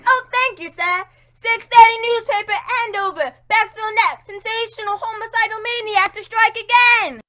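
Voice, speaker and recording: A woman's voice: very high voice, normal speed, pushed and bright. A woman playing a brash street newspaper seller crying headlines in a radio play. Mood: hawking, eager. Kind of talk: old-time radio play acting.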